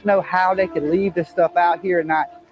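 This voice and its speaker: A high-voiced man leads a search outdoors and talks straight to camera. He explains each thing like a showman, with music clearly audible behind him. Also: fairly even intonation, normal speed, dull audio. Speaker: amateur search team leader. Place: outdoors at the edge of woods.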